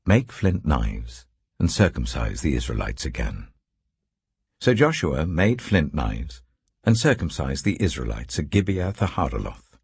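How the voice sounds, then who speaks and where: A man reading scripture as a scripted narration, in a solemn, measured storytelling manner with deliberate phrasing. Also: a bass voice, gravelly, a little mellow, breathy edge, no echo. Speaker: Bible narrator.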